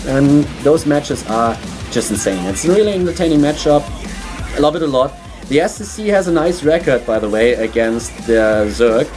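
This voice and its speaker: A man's voice: normal speed, faint music behind. A man from Germany with a German accent, casting a game on his own in a small studio, chatty and upbeat. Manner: chatty, upbeat, pitch jumps when excited. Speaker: esports caster. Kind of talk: solo game commentary.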